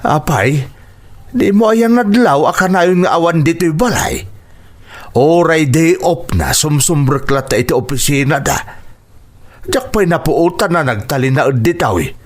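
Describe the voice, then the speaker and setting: A blunt man of the house in a radio drama. His voice is a touch high, and he grumbles emphatically with big swings in pitch. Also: animated, measured pace. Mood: surprised, grumbling. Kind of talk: Ilocano radio drama acting.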